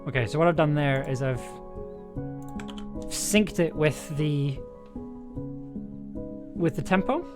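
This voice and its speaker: A streamer talking casually and haltingly while making music, thinking aloud with playful exclamations. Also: medium pitch. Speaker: streamer, audio drama producer and composer. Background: music being worked on, keyboard clicks and typing.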